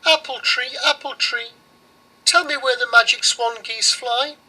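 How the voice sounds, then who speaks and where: A man's voice: very high voice, steady tone, a little slow, bright, forceful tone, husky, pitched above the performer's own voice, exaggerated voice, low-quality recording. A man voicing an innocent, fussy young girl in a storybook, with an insistent childlike manner. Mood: pleading. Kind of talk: storybook character voice.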